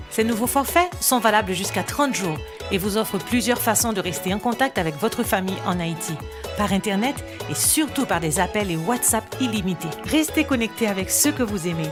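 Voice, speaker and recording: A woman with a medium-pitched voice reads a scripted radio commercial. Music plays clearly behind her promotional delivery. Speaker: commercial announcer. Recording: voice over a music bed.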